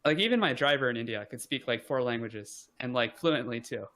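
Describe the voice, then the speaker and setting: A man's voice: mid-range voice, brisk. A young man chatting casually as a podcast guest, rambling with lots of "like" and self-deprecating asides. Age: young adult. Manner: relaxed, rambling, frequent "like" fillers, self-deprecating. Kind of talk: casual conversation.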